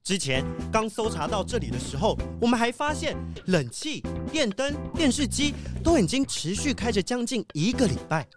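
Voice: mid-range voice, very animated, normal speed, few pauses, music in the background, lightly acted. An actor playing an earnest, dutiful wolfdog police sheriff in a children's audio drama, speaking in a formal reporting manner. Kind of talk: children's radio drama acting.

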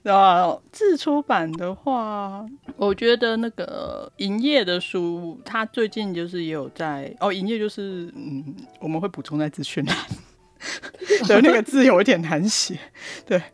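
A woman's voice: medium pitch, expressive, measured pace. A teasing woman with a Taiwanese Mandarin accent, co-hosting a casual podcast chat. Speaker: podcast host.

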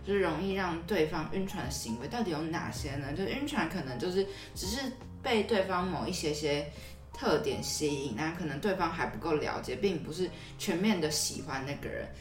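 A woman's voice: low-pitched, expressive, a little fast, music in the background. A woman co-hosting a podcast, explaining things in a conversational way with repeated connecting words and agreements. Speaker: podcast co-host. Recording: roomy echo, slightly distant mic.

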